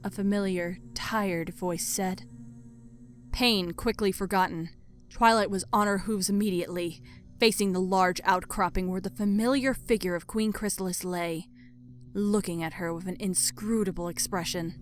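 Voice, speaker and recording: A woman's voice: medium pitch, fairly even intonation, normal speed. A woman reading scripted story narration as the narrator. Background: music.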